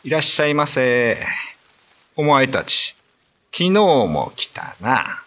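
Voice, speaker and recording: A man voicing a grumbling middle-aged candy shopkeeper in a radio drama, muttering gruffly to himself and polite to customers. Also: mid-range voice, sing-song, slow, a bit bright, pitched above the performer's own voice, full character voice.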